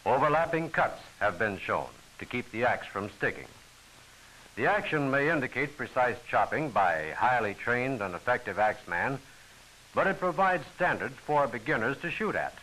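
A man's voice: mid-range voice, big pitch swings, normal speed, pushed and bright, slight background noise, low-quality recording. A man giving formal, instructional scripted narration for a training film, on an old film soundtrack.

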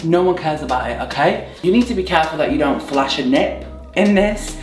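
A young woman with a hoarse, croaky voice from a sore throat, chatting playfully through a clothing try-on haul. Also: low-pitched, expressive, a little fast, a little mellow, soft background music. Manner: chatty, bubbly, playful asides. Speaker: fashion vlogger. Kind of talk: casual try-on haul chat. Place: bright indoor room at home. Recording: echoey room.

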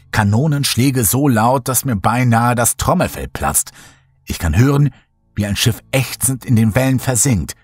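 Male horror-audiobook reader; husky, fairly low, measured and dramatic, dry close recording. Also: no echo. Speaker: horror story narrator. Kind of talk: scripted audiobook narration.

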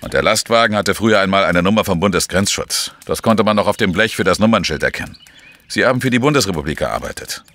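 An actor playing a world-weary investigative journalist in a crime radio drama, speaking in a measured, sombre, terse way. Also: male voice, sits low, expressive, fairly bright tone. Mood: confronting. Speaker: narrator and lead actor. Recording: music behind the voice.

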